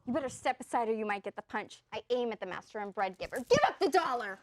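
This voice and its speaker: A woman's voice: a little high, expressive, fast talker, natural voice. A woman on stage playing a brash, rebellious comedian of a traveling troupe, with theatrical, declamatory delivery. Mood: commanding. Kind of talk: stage play acting. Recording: stage recording with background noise.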